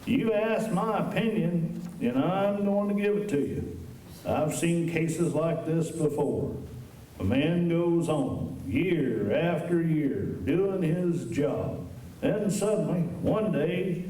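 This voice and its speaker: A man's voice: a little high, lively intonation, slow pace, mellow. A man acting as a blunt, folksy old-West town doctor who lectures his friend in a Western radio drama. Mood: earnest lecturing. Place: high school auditorium stage. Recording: roomy auditorium, distant mics, faint noise.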